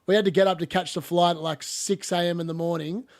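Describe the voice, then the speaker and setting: A chatty man hosts a laid-back football talk show with a cough he hasn't shaken yet. He rambles and laughs through his stories, and his voice sits a little higher than most men's. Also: fairly even intonation, fast. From Australia. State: lingering cough. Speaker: podcast host. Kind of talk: casual football chat.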